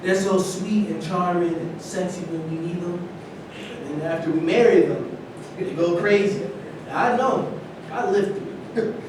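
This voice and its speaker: Actor as an indignant high-voiced ex-athlete. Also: male voice, a little slow. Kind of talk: staged play reading. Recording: distant echoey stage recording, rumbling noise.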